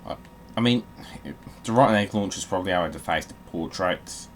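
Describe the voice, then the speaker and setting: A man's voice: sits low, expressive, fairly quick, a little hiss or hum. A man giving casual, sweary commentary while playing a video game, in a wry, grumbling manner.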